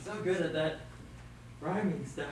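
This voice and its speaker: A man's voice: a little high, normal speed, lightly acted. A man playing an impulsive, lovestruck young lover in a stage play, earnest and melodramatic, recorded from far off in an echoey hall. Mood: tender. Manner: earnest, playful, swinging to melodrama. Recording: echoey hall, distant mic, noisy.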